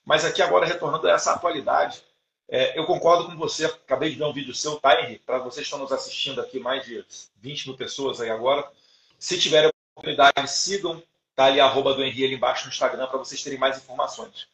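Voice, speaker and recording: Man with a gravelly voice in a roomy space. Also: mid-range voice, steady tone, quick pace, fairly bright tone. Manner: conversational, many fillers and repeated words. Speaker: live stream host. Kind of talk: live stream interview. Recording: echoey room.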